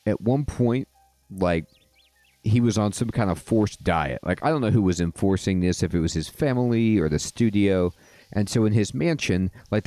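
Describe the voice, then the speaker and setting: Laid back gamer guy rambling wry stories, deepish mellow voice, real slow, dry close sound. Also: dry recording. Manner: rambling, drawn-out phrasing with wry asides. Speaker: gaming host. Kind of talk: casual let's-play chat and storytelling.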